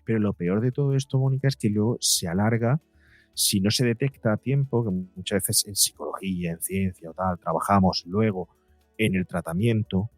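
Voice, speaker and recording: Male interview guest, Spain accent, lowish voice, dry recording, explains things emphatically with rhetorical questions. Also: normal speed, no echo, faint music behind. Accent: Spanish from Spain. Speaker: guest psychologist and podcaster.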